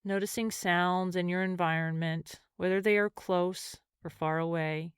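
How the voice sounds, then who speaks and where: A woman guides a meditation in a slightly low, clear and smooth voice. She speaks gently, leaving space between measured phrases. The sound is dry, with no room around it. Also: fairly even intonation, dry recording. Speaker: mindfulness and meditation teacher.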